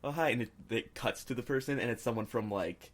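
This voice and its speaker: A man's voice: medium pitch, fairly quick. A man co-hosting a casual podcast chat, speaking informally in hesitant, wandering phrases. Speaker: podcast co-host.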